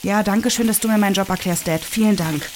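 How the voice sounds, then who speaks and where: A woman's voice: mid-range voice, fast, natural voice. A woman playing a frustrated, idealistic young policewoman arguing indignantly with her parents in an audio drama. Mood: sarcastic. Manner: indignant, sarcastic. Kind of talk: audio drama acting, family argument. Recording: kitchen frying noise behind.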